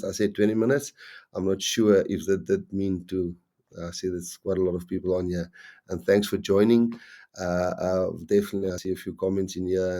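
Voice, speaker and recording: A man's voice: low voice, mellow. A middle-aged man with a strong Afrikaans accent, a rugby coach chatting casually on a live stream, rambling and restarting his sentences often. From South Africa. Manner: rambling, halting, frequent restarts.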